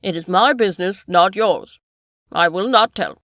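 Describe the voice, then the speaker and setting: A woman voicing a frightened, secretive middle-aged male butler with a lowered, pleading voice. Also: lively intonation, moderate pace, a little mellow, no echo, clean studio sound. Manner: pleading then defiant, lowered voice.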